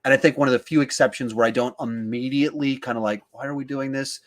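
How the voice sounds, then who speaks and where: Guy doing a podcast, medium pitched voice, casual film chat, kinda thinking out loud. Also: steady tone, fast. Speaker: podcast host.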